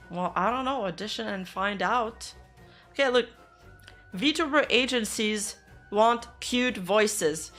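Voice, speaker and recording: A woman's voice: medium pitch, a bit bright. A woman VTuber streamer giving casual reaction commentary, blunt and sarcastic, swearing freely.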